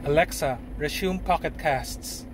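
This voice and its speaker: A man in a car giving short, slow, deliberate voice commands to an assistant. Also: on the high side. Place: inside a car. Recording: car cabin with road noise.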